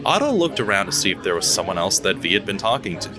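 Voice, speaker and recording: A man narrating a scripted audio drama in a steady storytelling manner, over background music. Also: sits low, fast.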